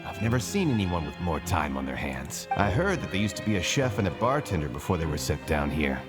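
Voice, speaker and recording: A man's voice: deep voice, sing-song, fairly quick. A man playing a friendly, easygoing inmate and card game fan in a video game, chatty and affable. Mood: chatty, amused. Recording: game music behind the voice.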